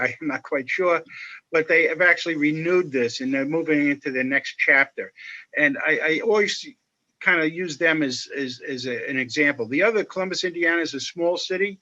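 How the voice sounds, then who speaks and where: A man speaking as a panelist on a video call, explaining at a measured pace with many fillers. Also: a little high, bright, forceful tone. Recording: muffled video call audio.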